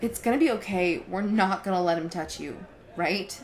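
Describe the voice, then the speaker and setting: A woman's voice: on the low side, moderate pace, natural voice, rough recording. A woman acting a protective, reassuring young woman in a naturalistic audio drama.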